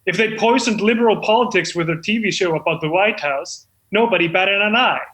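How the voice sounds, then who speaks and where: A man's voice: sits high, fairly quick, a bit bright. A man playing a deluded, eager amateur screenwriter who hosts a comedy show in an effusive, self-important manner. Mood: boastful. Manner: effusive, self-important, stumbling when off script. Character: deluded, eager amateur screenwriter hosting his own reading. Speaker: host. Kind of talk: comedic monologue and mock talk-show hosting. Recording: roomy echo.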